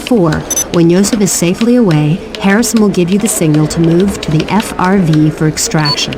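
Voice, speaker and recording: A woman's voice: lowish voice, a little fast, background noise, lightly acted. A woman playing a businesslike, dry-witted mission controller briefing an agent in a video game cutscene. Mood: brisk, businesslike. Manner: businesslike, clipped, wry.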